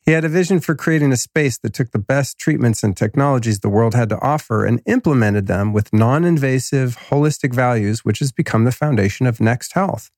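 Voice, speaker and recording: A man's voice: mid-range voice, brisk, hardly any pauses, darkish tone, dry recording, clean studio sound. Laid-back slangy man, a bit nasal. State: slightly nasal from a cold. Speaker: podcast host. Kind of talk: casual podcast intro and ad reads.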